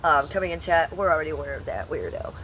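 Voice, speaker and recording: A woman's voice: low voice, big pitch swings, fast talker, fairly bright tone, slight background noise. Blunt, foul-mouthed woman on a livestream. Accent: Ohio. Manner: blunt, profane, sarcastic. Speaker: livestream host. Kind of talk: casual livestream chat.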